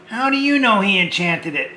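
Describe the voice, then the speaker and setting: A man hosting a homemade children's show, talking gently and hesitantly to the kids and often repeating words. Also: sits high, lively intonation, normal speed, echoey room, slight background noise, low-quality recording.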